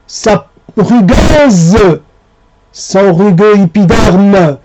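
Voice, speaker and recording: A man reciting spoken poetry in short, separated phrases, with a halting delivery. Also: high-pitched, darkish tone, poor audio.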